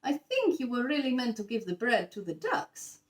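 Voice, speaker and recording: A woman acts out a kindly older gentleman from a children's storybook. He is courteous and tactful, with a lively rise and fall of pitch, heard in an echoey room. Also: moderate pace, recorded from a distance. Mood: gently discreet.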